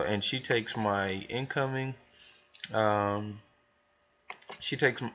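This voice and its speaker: Male trainer, lowish voice, informal and rambling step-by-step walkthrough, muffled lo-fi sound. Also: fairly bright tone. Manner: informal, rambling, thinking aloud. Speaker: business trainer demonstrating software to new hires. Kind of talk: casual screen tutorial, talking through steps.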